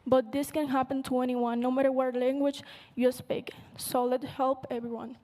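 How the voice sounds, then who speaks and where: A woman's voice: high voice, little pitch movement, normal speed, darkish tone. A teenage girl whose first language is Spanish, making an earnest appeal while presenting her team's project. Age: teens. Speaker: student presenter. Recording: long hall reverb.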